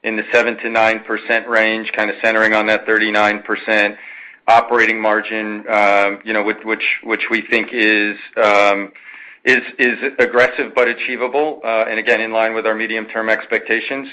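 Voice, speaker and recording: A man speaks as a finance executive on an earnings call. His tone is bright and forceful, yet the pitch stays very flat. He answers in measured corporate phrasing, often pausing and repeating words as he builds each point. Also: rough recording. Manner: measured, hesitant with frequent pauses and repeats. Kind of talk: answering an analyst's question, semi-formal corporate talk.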